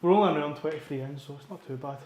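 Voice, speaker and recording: Male Scottish fitness vlogger, slightly high voice, bunged up with a cold, informal and a bit sweary, roomy echoing sound. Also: very fast, warm tone. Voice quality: congested, sniffly. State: getting over a cold. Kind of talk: casual workout vlog chat and rep counting.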